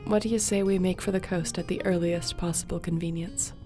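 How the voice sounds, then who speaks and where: A woman's voice: on the low side, steady tone, fairly quick, dark tone, faint music behind, lightly acted. A woman acting as a loyal, affectionate court sorceress in a fantasy audio roleplay, with a heartfelt delivery. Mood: tender, playful.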